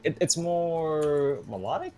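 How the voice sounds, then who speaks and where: A man's voice: fairly high pitch, animated, slow, a crowd in the background. A young man chatting casually as a co-player during a video game session. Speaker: co-player in a let's play. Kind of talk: casual chat while gaming. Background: game music.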